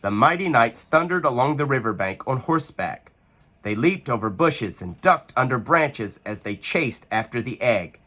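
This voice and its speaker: A man reading a children's picture book aloud with expressive, animated storytelling. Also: medium pitch, normal speed.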